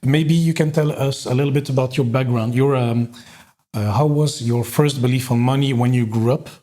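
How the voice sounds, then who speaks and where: Mellow-voiced podcast host man. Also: medium pitch, steady tone, moderate pace. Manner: hesitant, many "uh" and "um" fillers. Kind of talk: casual interview conversation.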